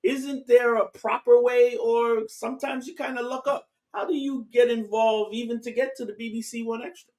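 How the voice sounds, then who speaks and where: A man hosting a music show interview, asking questions and giving encouraging shout-outs. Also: very high-pitched, steady tone, normal speed. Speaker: interview host.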